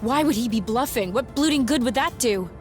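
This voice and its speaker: A woman playing a feisty, defiant and anxious young woman in a video game, with a blunt, sarcastic, expressive delivery. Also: fairly high pitch, a little fast, music in the background, natural voice. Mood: alarmed, indignant. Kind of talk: video game cutscene acting.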